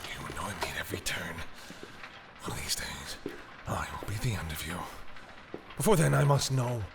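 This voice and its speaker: A man playing a flustered, tender and grudgingly fond vampire boyfriend in an intimate roleplay audio, hesitant and teasing, with a husky voice. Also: sits low, sing-song, normal speed, noisy background, heavily acted. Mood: mock menace, then playful exasperation. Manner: hesitant, easily embarrassed, teasing.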